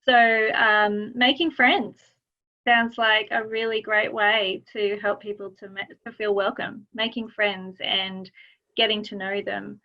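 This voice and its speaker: A woman hosting a webinar over a video call. She talks in an unhurried way and uses fillers as she moves between segments. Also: mid-range voice, steady tone. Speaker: webinar host. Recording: dull video-call audio.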